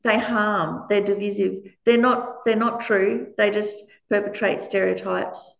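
Aussie woman giving a dharma talk, big loud voice, laughs a lot, roomy echoey room, kinda dull sound. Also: mid-range voice, steady tone, measured pace, gravelly. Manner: frank, laughs often between points. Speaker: Buddhist teacher. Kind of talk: dharma talk answering questions. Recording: roomy, slightly dull recording.

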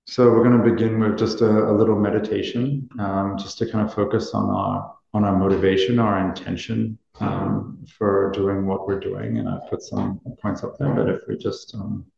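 Low gravelly guy, flat delivery, lots of ums, teaching a class in a big echoey room, bit muffled. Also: moderate pace, few pauses. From Australia and Nepal. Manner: hesitant, many ums and restarts. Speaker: meditation course teacher. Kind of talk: introducing a class to a live group.

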